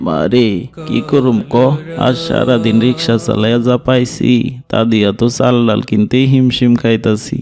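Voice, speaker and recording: Man doing a broke rickshaw dad, gravelly flat voice, village dialect. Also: medium pitch, moderate pace, music in the background, natural voice. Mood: despairing. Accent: rural Bangladeshi dialect. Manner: lamenting, pleading. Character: poor tired rickshaw-puller father, middle-aged man.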